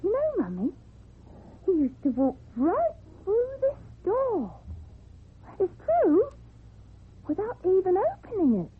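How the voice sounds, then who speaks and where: A very high, unhurried little girl's voice, innocent and matter-of-fact. She moves freely up and down in pitch, as in a radio horror drama. The recording is muffled and narrow, like old radio. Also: wildly swooping pitch, exaggerated voice. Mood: matter-of-fact, wondering.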